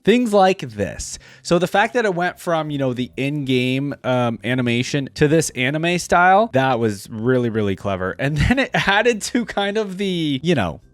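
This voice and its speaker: A man's voice: fairly high pitch, no echo. A man hosting a reaction video, talking casually and informally with frequent exclamations.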